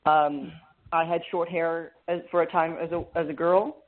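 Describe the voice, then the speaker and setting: Man with a fairly high voice on a phone line. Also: flat delivery, moderate pace. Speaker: guest hypnotherapist. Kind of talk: interview over a remote call. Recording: phone-like call line with static.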